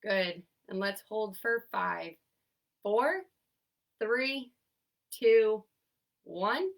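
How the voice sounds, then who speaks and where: A woman's voice: medium pitch, lively intonation, extremely slow, creaky, recorded from a distance. A young woman occupational therapist with a congested, stuffy voice, cheerfully leading a seated exercise class and counting down each hold. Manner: upbeat, encouraging, counts down holds. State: congested, stuffy from sinus trouble. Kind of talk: instructing an online exercise class.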